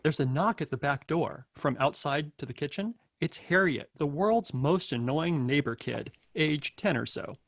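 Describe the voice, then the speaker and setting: Gravelly man hosting a table read. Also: a little high, expressive, normal speed, hardly any pauses, no echo, clean studio sound. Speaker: host and narrator of a table read. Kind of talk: reading introduction and stage directions.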